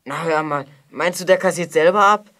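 A woman's voice: low-pitched, moderate pace, a bit bright. A woman playing a clever, self-assured young detective, confident and explanatory, in a children's audio drama. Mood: confident deduction.

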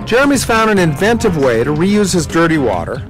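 Guy hosting a reno show, upbeat and jokey, higher voice that bounces around a lot. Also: very animated, music in the background, poor audio. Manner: upbeat, joking, asks quick questions. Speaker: TV host. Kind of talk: home renovation show hosting and voice-over. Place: outdoor backyard.

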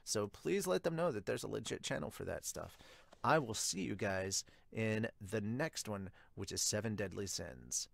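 A man's voice: lowish voice, expressive, moderate pace, vocal fry, no room sound. Casual man reviewing manga, dry sound. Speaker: host of manga review channel. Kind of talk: casual reaction and commentary to viewers. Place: small home room, indoors.

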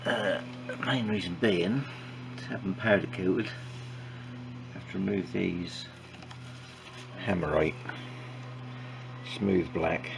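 A man giving informal hands-on workshop commentary in a halting, matter-of-fact way with clipped sentences. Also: deep voice, vocal fry. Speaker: presenter of a motorbike build vlog. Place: small wooden shed workshop. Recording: noisy workshop recording with background ambience.

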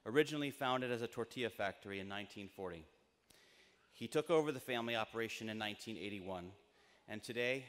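A middle-aged American man giving a formal ceremonial speech in measured, emphatic phrases at a podium microphone. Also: medium pitch. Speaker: university trustee speaking at commencement. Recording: long reverberant PA in large tent.

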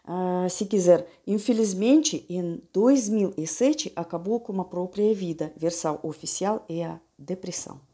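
A woman with a Russian accent giving a calm, measured explanation. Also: lowish voice. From Siberia, Russia.

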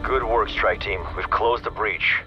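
An actor playing a stern, decisive strike team commander in a video game, giving clipped orders. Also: male voice, on the low side, fairly even intonation, measured pace, muffled recording, background noise, lightly acted. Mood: commanding. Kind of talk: video game cutscene acting.

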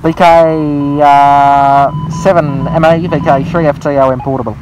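Aussie guy chatting on ham radio, slightly high voice, outside with faint noise. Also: fairly even intonation, unhurried. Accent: Australian. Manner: repeats phrases, spells out callsigns phonetically. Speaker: amateur radio operator. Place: outdoors in a local park. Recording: outdoor recording with faint background noise.

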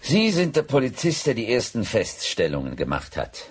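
An actor playing a condescending private detective agency boss in a radio crime drama, formal in manner. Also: male voice, mid-range voice, wildly swooping pitch, moderate pace, husky.

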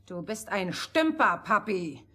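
An actress playing a spoiled, scornful young princess in a fairy-tale radio play, haughty and demanding. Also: female voice, mid-range voice, very animated, slow, pushed and bright, husky, full character voice. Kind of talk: theatrical radio play acting.

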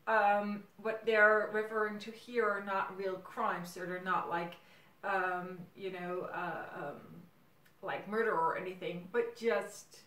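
A woman's voice: medium pitch, steady tone, takes it slowly, fairly bright tone. A middle-aged native Dutch speaker, a woman hosting a casual video series, thinking aloud hesitantly in a room at home. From Netherlands. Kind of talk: casual monologue to camera. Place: small room at home, indoors. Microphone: camera several feet away. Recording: roomy, distant camera microphone.

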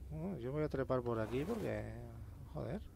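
Gravelly Spanish man doing game commentary. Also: mid-range voice, expressive, moderate pace, noisy background. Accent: Castilian Spanish. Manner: informal, sweary, grumbling to himself. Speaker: let's play host. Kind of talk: casual gameplay commentary, reads in-game notes aloud.

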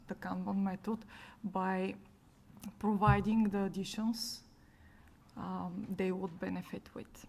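A young woman answering on a conference panel in a steady delivery with fillers, into a table microphone. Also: mid-range voice, vocal fry, slight background noise. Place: conference room on a panel stage.

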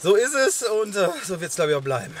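A man's voice: sits high, sing-song, brisk. A man in his thirties chatting and joking to a handheld camera on a road trip, partly in a car, partly outdoors. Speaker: vlogger. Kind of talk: casual travel vlog chat. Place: inside a car and outdoors on a parking lot. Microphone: handheld action camera. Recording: noisy car and outdoor recording. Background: car and road noise.

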